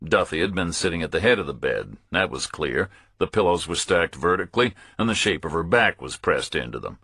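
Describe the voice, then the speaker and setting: A man's voice: low voice, very animated, a little fast, fairly bright tone, slightly rough, no room sound, clean studio sound. A man reading a first-person thriller audiobook as a scripted narrator, in terse, clipped phrases.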